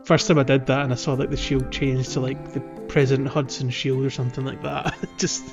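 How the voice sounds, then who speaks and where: A man co-hosting a gaming podcast, chatting casually and haltingly as he gathers his thoughts. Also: medium pitch, level tone, vocal fry, muffled recording, music in the background. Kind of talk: casual chat about a video game.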